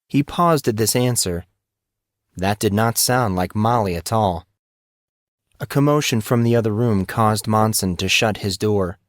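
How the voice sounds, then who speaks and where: A man's voice: lowish voice, a little slow, no echo, clean studio sound. A man giving scripted, dramatic narration of a story as an audiobook narrator.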